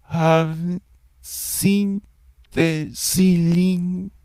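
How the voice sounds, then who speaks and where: Towering uncanny entity for a horror audio drama, high and distant, slow broken drawl. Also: male voice, dry recording, exaggerated voice. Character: towering silent male entity, uncanny house-puppet.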